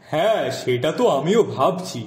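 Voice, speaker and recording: A man voicing a gentle, worried middle-aged father in a cartoon, reasoning and pleading as a peacemaker. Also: on the high side, animated, normal speed, a little hiss or hum, natural voice. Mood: pondering. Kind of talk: Bengali cartoon voice acting. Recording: roomy echo, music behind the voice.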